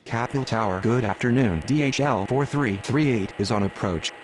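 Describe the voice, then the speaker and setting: A man's voice: mid-range voice, moderate pace, poor audio. A man speaking clipped, procedural air traffic radio phrases in a game.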